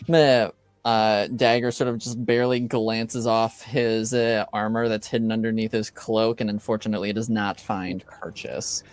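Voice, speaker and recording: A man's voice: lowish voice, little pitch movement, moderate pace, clean tone, no echo. A man running a tabletop game as game master, laid-back, laughing often and swearing casually.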